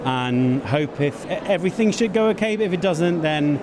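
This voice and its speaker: A man, an event producer, answering interview questions backstage with halting, hesitant phrasing. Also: fairly high pitch, animated. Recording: noisy busy backstage location.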